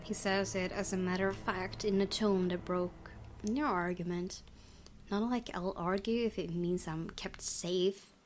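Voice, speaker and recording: A woman's voice: mid-range voice, steady tone, measured pace, a little hiss or hum. A woman hosting a gaming video, reading a visual novel aloud and adding casual commentary. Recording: game music behind the voice.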